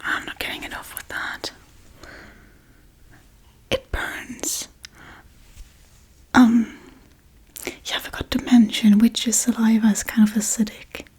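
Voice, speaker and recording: A woman's voice: fairly high pitch, slow, pauses often, full character voice. Woman playing a whispering, scatterbrained witch. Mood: teasing, musing. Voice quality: breathy whisper. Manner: casual, deadpan, rambling. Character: scatterbrained, bossy Halloween witch tending her captive. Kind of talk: soft-spoken whispered ASMR role-play.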